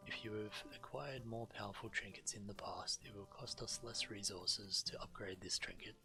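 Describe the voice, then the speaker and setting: A man's voice: lowish voice, moderate pace, pushed and bright. A man giving understated, matter-of-fact commentary on a video game and reading quest text aloud. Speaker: game streamer. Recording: game music faintly behind. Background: video game music.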